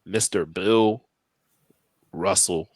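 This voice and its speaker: A man's voice: deep voice, very slow, dry recording. A man co-hosting a podcast, joking and steering the conversation along. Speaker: podcast co-host. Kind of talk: casual chat.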